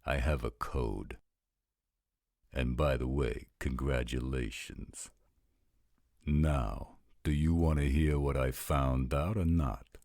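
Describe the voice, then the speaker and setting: Male actor as roguish supernatural informant, bottomless bass pitch, blunt slang, dry studio sound, roleplay audio. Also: slow, darkish tone, no echo, heavily acted. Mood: wry, unbothered. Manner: slangy, blunt, drawling street talk. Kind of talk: audio roleplay drama acting.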